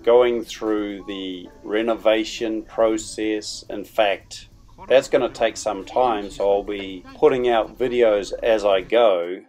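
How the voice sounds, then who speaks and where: A man's voice: on the low side, darkish tone. Middle-aged Kiwi man telling a story. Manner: chatty, colloquial, playful. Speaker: vlog host. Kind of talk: casual vlog storytelling voice-over. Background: background music.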